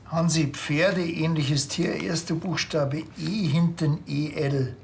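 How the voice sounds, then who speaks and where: An actor playing a grumpy, sarcastic village policeman with a Bavarian accent and gruff deadpan delivery in a TV drama. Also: a little high, slow pace, slight background noise. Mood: dry.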